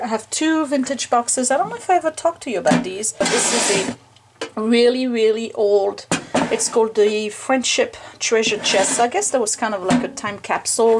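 Casual home vlogger, woman, medium pitch, chatty and unscripted, faint hiss on the camera mic. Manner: chatty, filler-heavy, thinking aloud while working. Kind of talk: casual show-and-tell narration. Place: basement room at home, indoors.

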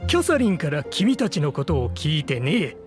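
A man's voice: a little high, sing-song, moderate pace. A man playing a genial, businesslike landlord in a game, courteous and assured. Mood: grateful. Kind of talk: Japanese game voice acting. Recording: music behind the voice.

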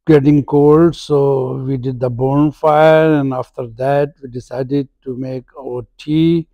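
A man's voice: mid-range voice, gravelly, dark tone, no room sound, rough recording. A man casually narrating a family camping trip vlog, filmed on a phone from a car and at a campsite. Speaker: vlogger.

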